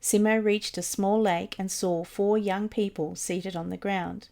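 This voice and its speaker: Female narrator for short religious stories, gently mellow timbre, mid-range pitch, reading from a script. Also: fairly even intonation, a little slow, gravelly. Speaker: volunteer reader of mission stories.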